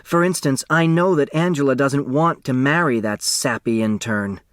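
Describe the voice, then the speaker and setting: Man performing a sharp-tongued kid sister, higher voice, brash, combative, audiobook character, dry recording. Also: normal speed, no room sound, close to an everyday voice. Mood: cocky, needling. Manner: blunt, combative. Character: brash, sharp-tongued young girl, bossy little sister.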